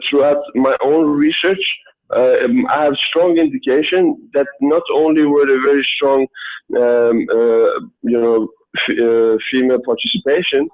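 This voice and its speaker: Guy raised in sweden, lots of uh's and pauses, sounds like a phone call. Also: mid-range voice. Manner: halting, heavy with "uh" fillers. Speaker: guest, Persian yoga instructor. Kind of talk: casual interview answers. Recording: tinny phone-like line.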